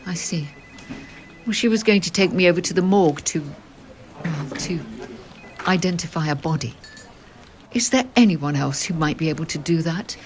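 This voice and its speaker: An actress playing a composed, pointed widow in an audio drama, speaking with clipped, formal politeness. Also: female voice, on the low side, normal speed, long pauses, lightly acted. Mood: controlled, impatient. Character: composed, pointed widow waiting at a police station.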